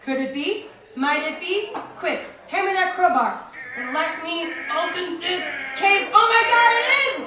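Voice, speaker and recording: A woman's voice: extremely high pitch, a little slow, over music. A woman playing a plucky, wry lady detective in an old-time radio serial parody, with melodramatic delivery. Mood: excited. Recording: echoey, distant, loud background noise.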